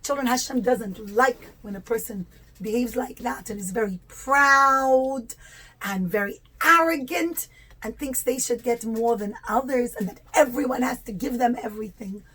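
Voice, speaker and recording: A woman telling a religious story to young children in expressive, simple, teacherly phrases with stretched words. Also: a little high, normal speed, husky, low-quality recording.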